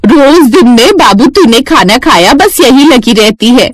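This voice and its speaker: A woman puts on a suspicious mother-in-law from a cartoon family drama. She scolds and accuses melodramatically, her pitch high and leaping about, recorded very dry. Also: animated, unhurried, no room sound, soft background music. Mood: accusing. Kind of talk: dramatised cartoon story dialogue.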